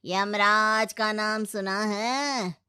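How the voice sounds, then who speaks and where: A woman voicing an officious male messenger of death in a cartoon story, with a stern, deadpan, scolding manner. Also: medium pitch, drawn-out words, clear, resonant tone, no echo, clean studio sound. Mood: stern, mocking. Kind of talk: Hindi cartoon storytelling dub.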